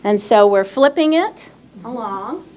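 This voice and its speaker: A woman's voice: a little high, animated, unhurried, background noise. A middle-aged woman presenting a legal aid workshop at an expo, explaining in a chatty way with many fillers, speaking into a handheld microphone. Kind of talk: public workshop talk. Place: expo hall.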